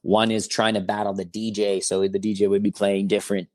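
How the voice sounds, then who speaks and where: A man's voice: lowish voice, little pitch movement, brisk, a little mellow, no room sound, clean studio sound. A man in his forties, a rapper guest in a casual interview, talking in a laid-back rambling way full of fillers and slang. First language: German or Italian. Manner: laid-back, rambling with fillers, says "bro" and "you know what I mean".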